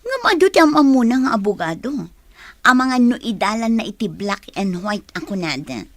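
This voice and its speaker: Lively, sly female schemer. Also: a little high, moderate pace, no room sound. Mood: conspiratorial. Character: scheming woman accomplice, cunning and greedy. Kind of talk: radio drama acting.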